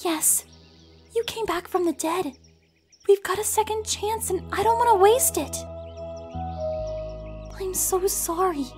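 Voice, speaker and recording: Very high-pitched, emotional pony girl. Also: measured pace, long pauses, darkish tone, faint music behind. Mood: tender. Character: gentle young female cartoon pony, wounded and lovesick. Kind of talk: fan audio drama acting.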